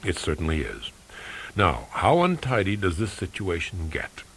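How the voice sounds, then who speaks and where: A man's voice: low-pitched, very animated, normal speed, a little hiss or hum. A man playing a blunt, wry, middle-aged male investigator in an audiobook story. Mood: intense, earnest.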